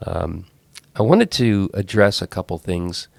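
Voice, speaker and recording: Low-voiced congested man hosting a podcast. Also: expressive, a little slow, vocal fry, no echo. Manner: rambling, self-correcting. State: congested head cold. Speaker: podcast host. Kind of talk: casual talk.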